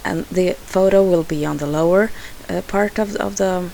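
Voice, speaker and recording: A woman's voice: on the low side, normal speed, gravelly, dark tone, slight background noise, poor audio. A woman from Sweden with a Swedish accent, a native Swedish speaker, narrating a craft video in a hesitant way with frequent fillers and restarts. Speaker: scrapbooking crafter.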